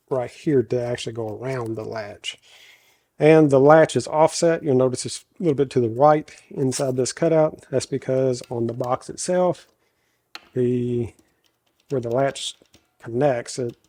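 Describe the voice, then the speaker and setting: A man with a country American accent and a slight head cold, talking himself through a workshop project in a loose, thinking-aloud way. His natural voice is very deep, and he often corrects himself mid-sentence. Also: warm tone. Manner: talks to himself while working, self-correcting. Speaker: host showing a woodworking project.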